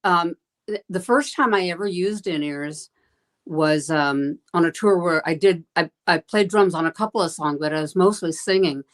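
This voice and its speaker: Woman singer-drummer with a lowish voice, emphatic and a bit pushy, chatting casually over a video call. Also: moderate pace. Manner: emphatic, self-described loud and pushy. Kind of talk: casual roundtable chat.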